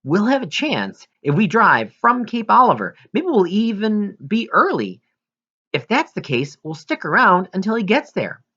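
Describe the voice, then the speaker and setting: Guy doing an easygoing practical grandma in a story, lively and bright, bit lo-fi. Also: sits high, unhurried, voice pushed up, exaggerated voice. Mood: reassuring. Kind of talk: audiobook character dialogue.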